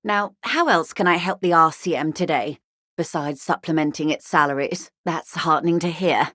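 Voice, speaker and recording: An actress playing a wealthy corporate negotiator in a video game, refined and polished, speaking with urbane, wryly courteous, measured irony. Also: female voice, low-pitched, fairly bright tone, no echo, clean studio sound. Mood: wry, composed. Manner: urbane, measured, dryly ironic. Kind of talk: scripted game dialogue, conversational.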